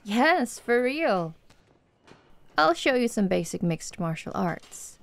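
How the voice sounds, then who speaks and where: A woman's voice: a little high, big pitch swings, slow, vocal fry, heavily acted. A woman playing a flirty, confident tomboy MMA fighter in an ASMR roleplay, with a playful, teasing, coaxing manner. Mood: playful, inviting.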